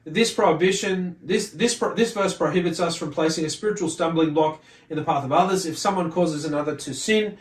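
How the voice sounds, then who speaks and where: A man with a slightly high voice teaches scripture informally, reading and then explaining. He speaks haltingly, doubling back on words. The sound is clearly roomy, and he sits a little far from the microphone. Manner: halting, with repeated words and self-corrections. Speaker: religious teacher presenting a study video. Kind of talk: informal scripture lesson, reading text and commenting. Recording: roomy echo, distant mic, faint noise.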